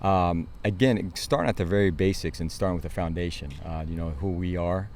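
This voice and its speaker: A man's voice: deep voice, fairly quick, darkish tone, slight background noise. An American man, a football coach, answering interview questions in an earnest, explanatory and informal way.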